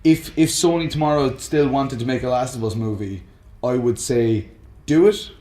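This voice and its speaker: A man's voice: medium pitch, moderate pace, dark tone. A man co-hosting a casual film chat, slipping into imagined quotes as he makes his points. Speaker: co-host. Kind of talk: casual banter about films. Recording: roomy echo, faint music. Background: faint music.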